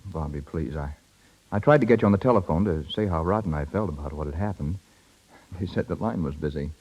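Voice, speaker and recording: An actor playing a proud, stubborn, resentful young husband with emphatic delivery in a 1930s radio drama. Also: male voice, rumbling low, a little fast, darkish tone, slight background noise, low-quality recording. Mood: apologetic.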